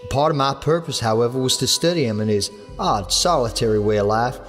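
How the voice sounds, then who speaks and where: A man's voice: mid-range voice, animated, brisk, big-room sound, faint music behind, natural voice. An English man playing a young male writer giving touchy, clipped answers at an inquest. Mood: plain. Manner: hot, clipped replies.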